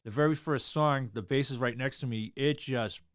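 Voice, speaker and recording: A man's voice: medium pitch, normal speed, no room sound, studio quality. A man, a drummer talking loosely in an interview, rambling with lots of fillers. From South Boston, USA. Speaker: drummer and guest. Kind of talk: casual interview chat.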